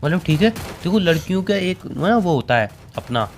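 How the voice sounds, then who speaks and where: A young man in his twenties bickering jokingly with his girlfriend, playfully exasperated and quick to argue. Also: sits high, sing-song, a little hiss or hum. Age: 20s. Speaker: boyfriend in a comic prank chat. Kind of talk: casual joking argument.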